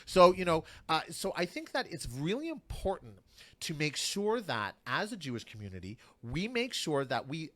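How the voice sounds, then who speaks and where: A man's voice: on the high side, very animated, normal speed, a bit bright. A man speaking as a guest on a radio talk show, arguing passionately and repeating phrases for emphasis.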